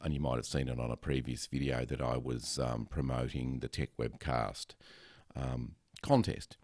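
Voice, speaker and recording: Deep-voiced man, rambling gear chat. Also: steady tone, normal speed, dry recording. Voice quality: loud resonant voice. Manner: rambling, many ums and self-corrections. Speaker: podcaster. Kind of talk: casual gear talk to camera. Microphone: headset microphone close up. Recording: dry, close sound.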